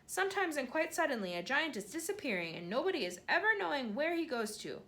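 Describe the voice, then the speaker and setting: A woman voicing a huge, very old and kindly male giant who jumbles his words with eager, excitable made-up phrases in a storybook reading. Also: high-pitched, quick pace, pitched above the performer's own voice. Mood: earnest, explaining. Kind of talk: storybook read-aloud.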